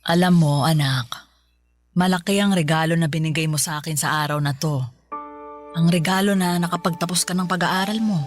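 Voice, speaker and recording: An actress playing a loving, selfless middle-aged mother in a Filipino radio drama, reassuring and unhurried. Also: fairly low pitch, steady tone, close to an everyday voice. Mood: tender, grateful. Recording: music bed under the voice.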